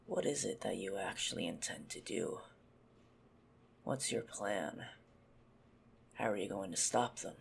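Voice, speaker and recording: A man playing a teasing, menacing, possessive vampire in an ASMR roleplay, mocking and condescending, with a husky, breathy, gravelly voice that often drops to a whisper. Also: low-pitched, measured pace, pauses often, a little hiss or hum, exaggerated voice. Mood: probing, calm.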